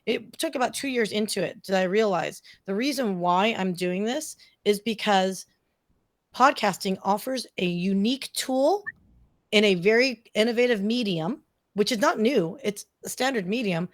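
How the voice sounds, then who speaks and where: A woman's voice: mid-range voice, animated, moderate pace. A middle-aged woman speaking casually as a podcast guest, enthusiastic, emphatic and chatty, often checking in with the listener. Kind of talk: casual conversational interview.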